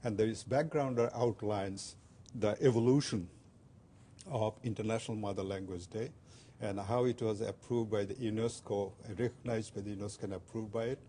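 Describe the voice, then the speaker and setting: An older man from Bangladesh, a native Bengali speaker, talks haltingly with frequent "uh"s and restarts. His voice sits fairly low and sounds dark and mellow. A faint low rumble runs under the recording. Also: steady tone. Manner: hesitant, many "uh" fillers and restarts. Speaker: interview guest. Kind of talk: community TV interview.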